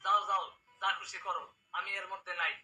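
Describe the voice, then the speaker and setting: A man's voice: high-pitched, fairly even intonation, fairly quick, sharp tone, recorded from a distance. Man playing a blunt, scoffing cartoon dad. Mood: mocking. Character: skeptical, dismissive father and husband.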